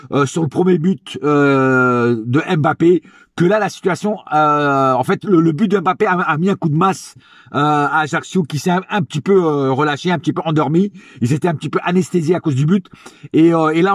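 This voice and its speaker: A man's voice: on the high side, husky, dry recording, studio quality. A man giving a casual, chatty match review as a football fan, hesitant, with many fillers and restarts.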